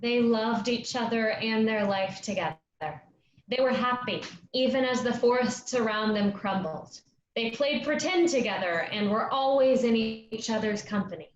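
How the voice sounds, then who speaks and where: A woman playing an earnest young travelling player in a staged reading of a play, speaking with measured delivery. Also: fairly high pitch, close to an everyday voice. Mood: storytelling. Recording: echoey, dull lo-fi, noisy room.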